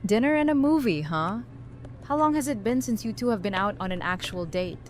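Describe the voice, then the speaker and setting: A woman acting a wry, warm-hearted best friend in an audio drama, casual and joking. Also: mid-range voice, expressive, brisk, natural voice. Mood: teasing, kind.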